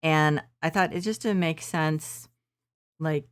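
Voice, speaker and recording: Slow, low-voiced woman streamer. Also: clear, resonant tone. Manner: rambling, soft-spoken, many fillers. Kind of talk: casual gameplay commentary. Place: shared living room at home.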